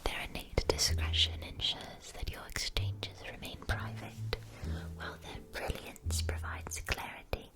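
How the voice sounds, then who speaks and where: A woman playing a gentle, attentive magic-shop keeper in a scripted ASMR roleplay, whispering softly with careful articulation. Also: natural voice. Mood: soothing, attentive. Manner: soft, slow, careful articulation. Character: gentle, attentive female shopkeeper of magical school supplies.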